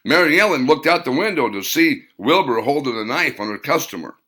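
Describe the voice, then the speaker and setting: A man reading his own novel aloud as an audiobook narrator, in a measured delivery that slows on key phrases. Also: medium pitch, expressive, pushed and bright.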